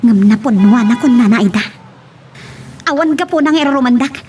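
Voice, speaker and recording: A woman's voice: a little high, fairly quick, dark tone, a little hiss or hum, close to an everyday voice. A woman playing a wronged, pleading young woman with an emotional, defiant manner in an audio romance drama. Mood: hurt, defiant. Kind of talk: Ilocano radio-style romance drama acting.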